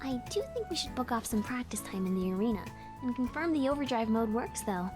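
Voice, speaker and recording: A woman plays an eager, teasing young female engineering student in a visual novel game. Her voice sits on the high side and moves around expressively, with prominent music behind it. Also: expressive, a little fast, natural voice. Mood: casual, thoughtful.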